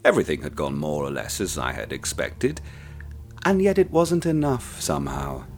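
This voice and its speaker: An actor narrating as a cold, self-satisfied killer, confessing in a slow, brooding way. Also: male voice, very deep voice, very animated, slight background noise, full character voice. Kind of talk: dark first-person narration in an audio drama.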